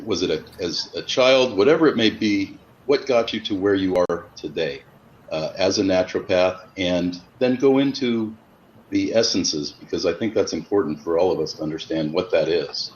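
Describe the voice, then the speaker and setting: Guy in his 50s hosting a chat on a video call, really low voice, bit rambly, dull sound. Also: expressive, normal speed. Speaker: host. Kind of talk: casual video interview. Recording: dull lo-fi audio with background noise.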